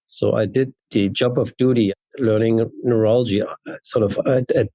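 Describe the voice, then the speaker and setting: A man's voice: on the low side, fairly even intonation, moderate pace, warm tone, no room sound. A man in his fifties with a German accent, giving conversational answers as an interview guest. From East Berlin, Germany. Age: 50s. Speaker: interview guest, neurologist and genetics researcher.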